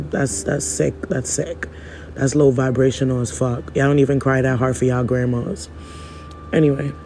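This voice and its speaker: A man, hoarse from losing his voice, giving a rambling, preachy spiritual podcast monologue in casual slang. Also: medium pitch, mellow, slight background noise.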